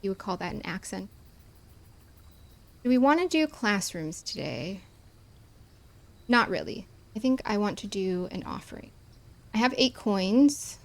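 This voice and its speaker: A woman's voice: medium pitch, animated, measured pace, gravelly, long pauses. A woman from the American Midwest with a Chicago accent, chatting casually while streaming a game, with long vowels and careful over-enunciation.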